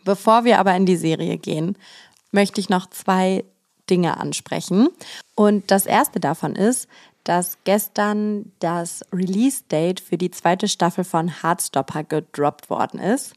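A hoarse woman co-hosting a podcast, chatting casually and mocking herself with frequent laughs. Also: on the low side, unhurried, darkish tone. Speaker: podcast co-host. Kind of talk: casual chat.

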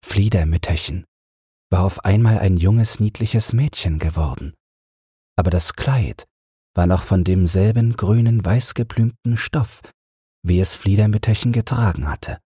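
A man gently narrating a bedtime fairy tale in a soothing, measured way, close to the microphone. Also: low-pitched, husky, no room sound. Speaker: audiobook narrator.

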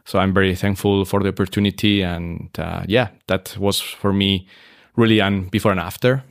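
A deep-voiced man talks through his career at an easy pace. His voice is low and gravelly, full of "uh" and restarts. The sound is dry and close. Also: normal speed, dry recording. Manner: relaxed, many fillers and restarts. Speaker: hotel operations expert, guest. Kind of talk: casual interview conversation.

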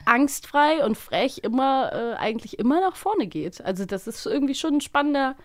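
A woman's voice: high voice, lively intonation, moderate pace. A woman hosting a casual podcast, talking in an enthusiastic, giggly way. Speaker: podcast host.

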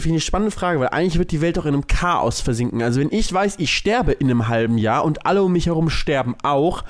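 A man in casual podcast chat as a co-host, explaining and giving opinions in colloquial language. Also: a little high.

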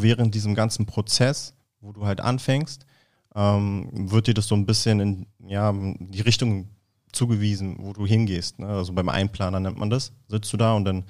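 A man in his thirties from Berlin, a football player talking casually as a podcast guest, with frequent fillers and restarts. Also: on the low side. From Berlin, Germany. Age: 30s. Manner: relaxed, many fillers and restarts. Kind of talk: casual interview chat.

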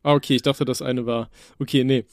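A man's voice: mid-range voice, little pitch movement, fairly quick, dry recording. Dry, laid-back man chatting on a podcast. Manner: laid-back, rambling, chuckles often. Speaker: podcast co-host. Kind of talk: casual chat.

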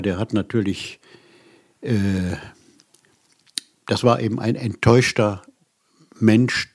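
An older man from Germany reminiscing as an author in an interview, halting and reflective, often rephrasing mid-sentence. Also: fairly low pitch, slightly rough. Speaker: radio play author being interviewed.

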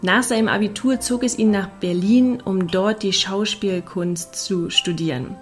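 A woman's voice: medium pitch, measured pace, faint music behind. A woman podcast host speaking in a scripted, presenting style with interview questions.

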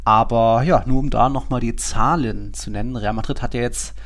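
A man's voice: medium pitch, moderate pace. A man, chatting casually as host of a football podcast.

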